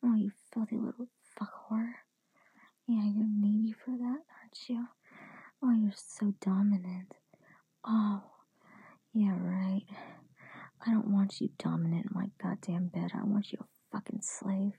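Adult woman for intimate audio roleplay: very husky, breathy whisper with fry, commanding and teasing delivery. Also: mid-range voice, fairly even intonation, normal speed, dark tone, dry recording. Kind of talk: whispered erotic audio roleplay, addressing the listener.

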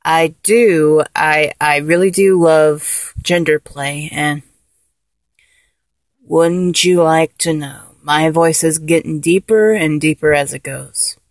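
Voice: mid-range voice, fairly even intonation, slow, no room sound. Someone voicing a giddy freshly transformed guy. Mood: rambling. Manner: rambling, stammering, giggly. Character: man just transformed from a woman, giddy, awkward. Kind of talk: solo audio roleplay.

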